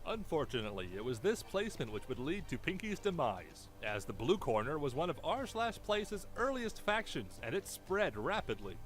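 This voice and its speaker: A man's voice: fairly high pitch, big pitch swings, normal speed, hardly any pauses. A man reading a scripted documentary-style video narration with theatrical, suspenseful storytelling. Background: background music.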